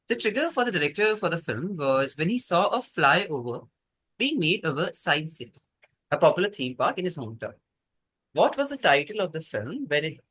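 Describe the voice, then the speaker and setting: A man's voice: a little high, bright, forceful tone, husky, no room sound, low-quality recording. A man, acting as quiz master and reading out questions in an online quiz.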